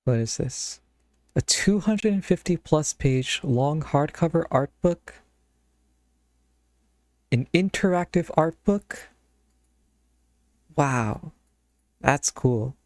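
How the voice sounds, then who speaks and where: A man's voice: mid-range voice, slow pace, pauses often, a little mellow, no echo. Man rambling casually on a dry mic. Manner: rambling, thinking aloud. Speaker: streamer. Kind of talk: casual live-stream chat while browsing games.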